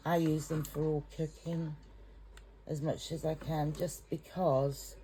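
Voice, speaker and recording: A middle-aged English woman rambles in a chatty, slightly self-conscious way in her home kitchen. Her voice sits fairly low and she talks unhurriedly. The recording carries a clear layer of background noise. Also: flat delivery, slow, mellow, poor audio. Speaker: home cook and carer. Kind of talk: casual cooking vlog.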